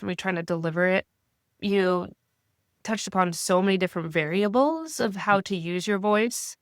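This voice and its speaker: A woman in her thirties who is getting over a cold, speaking as a guest in an interview in a measured, reflective way. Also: mid-range voice, a bit bright, clean tone, no echo, clean studio sound. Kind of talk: interview conversation.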